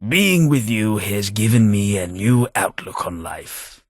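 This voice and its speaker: A man voicing a genteel, radiation-scarred London gentleman in a video game, formal and dryly witty with old-fashioned diction. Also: fairly low pitch, lively intonation, slow pace, no echo, clean studio sound. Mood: heartfelt. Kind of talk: video game character dialogue.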